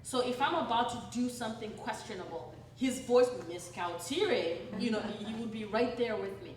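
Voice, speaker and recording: A woman's voice: fairly high pitch, moderate pace. A woman from Malawi whose accent shifts between Malawian, American and British, telling stories from her life at a book event and acting out the voices she quotes. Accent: Malawian mixed with American and British. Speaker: author and speaker. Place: church hall. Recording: roomy hall, noisy, from a distance.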